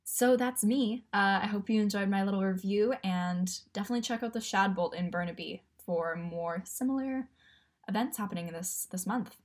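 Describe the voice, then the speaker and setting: A woman's voice: mid-range voice, normal speed. A woman giving a casual spoken arts review on the radio.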